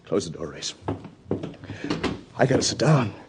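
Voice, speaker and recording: A man's voice: fairly low pitch, big pitch swings, unhurried, noisy background. An actor playing a shaken, injured, frightened man in a 1940s radio drama. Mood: shaken, urgent.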